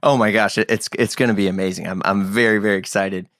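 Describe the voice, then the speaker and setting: A man's voice: on the low side, steady tone, quick pace, a bit bright, dry recording, studio quality. Upbeat man hosting a podcast. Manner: enthusiastic, laughing often, rambling restarts. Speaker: podcast host. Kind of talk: casual podcast interview.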